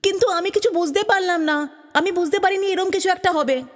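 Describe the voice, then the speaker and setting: A woman's voice: extremely high pitch, brisk. A woman voicing a kind, curious young girl in a cartoon fairy tale. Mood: wondering. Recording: long reverb, music behind the voice.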